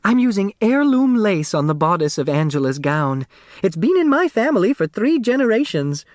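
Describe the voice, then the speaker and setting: A man's voice: high voice, big pitch swings, normal speed, no echo, studio quality, voice pushed up. A man voicing a small, timid older woman, a chatty dressmaker eager to please, in an audiobook. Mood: wistful, chatty.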